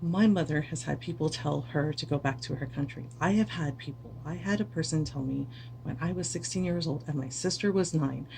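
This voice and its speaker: A woman's voice: low voice, fairly quick, vocal fry, mellow, slight background noise, rough recording. A weary woman streamer giving an earnest, impassioned speech to camera, repeating words for stress. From United States.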